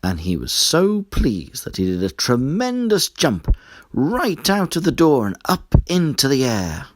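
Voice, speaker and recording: A man narrating a children's story in an expressive, deliberate storytelling manner. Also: medium pitch, no room sound. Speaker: storybook reader.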